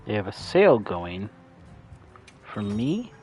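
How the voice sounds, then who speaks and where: Laid-back low-voiced man gaming. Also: big pitch swings, slow pace, darkish tone, soft background music. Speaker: gaming co-host. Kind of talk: casual chat while playing a game. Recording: dull lo-fi stream audio.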